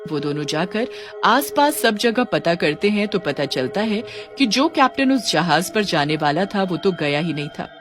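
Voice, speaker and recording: A woman's voice: lowish voice, animated, normal speed, music in the background. A woman reading scripted narration for a cartoon story.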